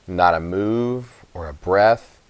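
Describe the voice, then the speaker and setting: A man giving an expressive, dramatic children's audiobook narration, with emphatic pauses. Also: low-pitched, clear, resonant tone, no echo. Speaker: story reader.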